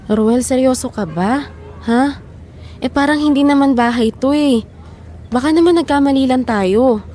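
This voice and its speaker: Anxious doubting wife acted by a woman, mellow, slightly high voice, plaintive questioning, audio drama. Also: measured pace. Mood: doubtful, upset.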